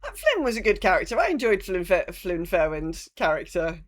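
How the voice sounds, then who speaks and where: A woman's voice: lowish voice, wildly swooping pitch, fairly quick. A woman co-hosting a podcast, chatting casually and reading out listener messages. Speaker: podcast co-host. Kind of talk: casual chat.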